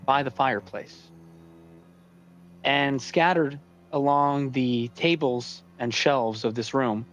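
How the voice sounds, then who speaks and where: A man running a tabletop role-playing game as dungeon master, describing scenes deliberately with pauses between phrases. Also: mid-range voice.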